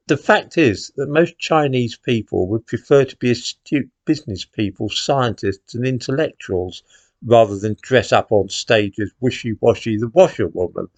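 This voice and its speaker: A man's voice: mid-range voice, no room sound. Englishman with widely swooping intonation. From England. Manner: indignant, sardonic, rhetorical questions. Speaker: commentator, host. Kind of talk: opinion monologue to camera.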